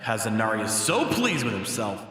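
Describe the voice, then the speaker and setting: A man voicing an excitable, self-pitying high school boy in a comic anime-style dub, with dramatic outbursts and glum asides. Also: moderate pace, slightly rough, exaggerated voice. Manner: exasperated, dramatic outbursts and glum inner monologue.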